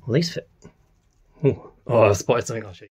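A man's voice: fairly low pitch, brisk, a little mellow, a little hiss or hum. A man gives a curious, chatty walkaround of an old van in a workshop, thinking aloud as he goes. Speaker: vlogger restoring a classic van. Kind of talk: casual walkaround commentary.

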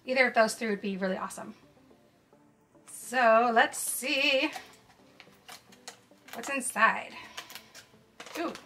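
Young woman host, high and bright, excited toy unboxing, faint music under. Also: slow, long pauses. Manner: gasping, excited exclamations over toys. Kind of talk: cheerful toy unboxing narration. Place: indoors at a tabletop set.

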